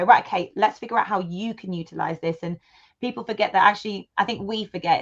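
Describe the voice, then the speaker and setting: A woman's voice: lowish voice, highs cut off. A woman from the United Kingdom, a studio co-founder, talking in an enthusiastic, chatty way as a guest in an interview. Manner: enthusiastic, chatty, with many fillers. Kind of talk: casual interview chat.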